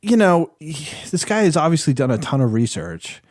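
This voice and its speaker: Halting male podcast panelist, dry sound. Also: on the high side, very animated, a little mellow, no echo. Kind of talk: casual spoken review.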